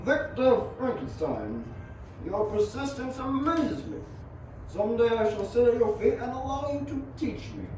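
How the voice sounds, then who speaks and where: Through heavy echo and clear noise, a young man plays a genteel university professor in a radio drama. He is high-voiced, formal and courteous. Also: big pitch swings, normal speed. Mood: amused, approving. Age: young adult. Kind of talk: amateur radio play acting. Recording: very echoey, distant, noisy.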